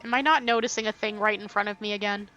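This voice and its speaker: A woman's voice: mid-range voice, steady tone, fast talker, sharp tone, dull audio. A woman livestreaming a game with casual commentary, reading dialogue aloud and muttering to herself. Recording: faint game music behind the voice. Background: game music.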